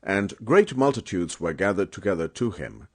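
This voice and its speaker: A man narrating scripture as a dramatic audiobook reading, with a solemn, emphatic and measured oratorical delivery. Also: low voice, big pitch swings, a little mellow.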